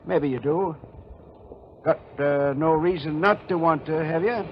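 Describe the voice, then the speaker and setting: High-pitched, folksy radio sheriff. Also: male voice, a little slow. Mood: sly, probing. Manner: folksy, clipped, insinuating questioning. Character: burly small-town sheriff, slyly probing. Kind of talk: 1940s radio mystery acting. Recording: muffled, noisy 1949 radio recording.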